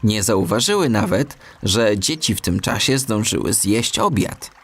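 Slow, animated male storyteller, slightly creaky. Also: fairly low pitch, soft background music. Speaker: audiobook narrator. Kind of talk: expressive children's story narration.

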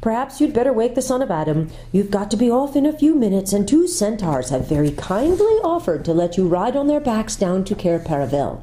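A woman's voice: mid-range voice, big pitch swings, fairly quick, hardly any pauses, dark tone, pitched above the performer's own voice, natural voice. A woman voicing a polite, eager young male fawn who talks in a chatty, earnest way.

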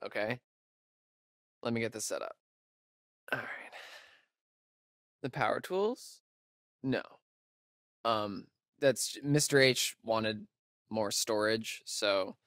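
A man's voice: medium pitch, a bit bright, no room sound, studio quality. A young American man playing a nervous, geeky, wry camp counselor in a video game, with a hesitant, stammering way of talking. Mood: defensive, awkward. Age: young adult. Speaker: video game character actor. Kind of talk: naturalistic video game dialogue.

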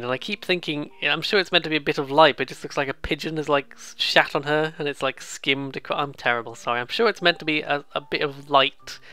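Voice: mid-range voice, pushed and bright, clear, resonant tone. A British let's player giving casual, rambling, amused commentary on a visual novel, with some swearing. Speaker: let's play host.

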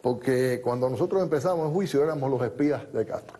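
Man, fifties, TV interview guest, warm husky voice, lapel mic, studio. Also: mid-range voice, fast. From born in the United States, raised in Cuba. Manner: steady storytelling, recounting events in detail.

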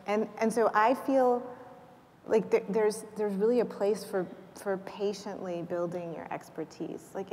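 A middle-aged woman speaking as a panelist in an animated way with quick bursts and restarts, on an auditorium stage. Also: medium pitch, a little hiss or hum. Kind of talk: panel discussion. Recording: reverberant auditorium sound.

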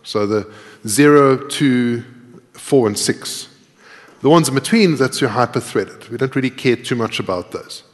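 A man's voice: mid-range voice, unhurried. A middle-aged man giving a live coding lecture, explaining and thinking aloud as he types. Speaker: conference speaker. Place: large tiered lecture hall. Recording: echoey lecture hall with long reverb.

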